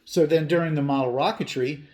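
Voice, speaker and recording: A man's voice: a little high, steady tone, brisk, a little mellow. A man in his fifties hosting a hobby channel, talking casually to viewers in an earnest, plain-spoken way with emphatic repetition. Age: 50s.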